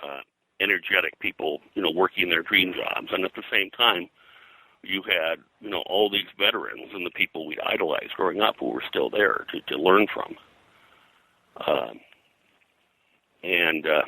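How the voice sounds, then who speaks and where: An older American man reminiscing as an interview guest, in a halting, rambling way. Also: deep voice, vocal fry, pushed and bright, no room sound, low-quality recording. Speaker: guest, comics colorist and publisher.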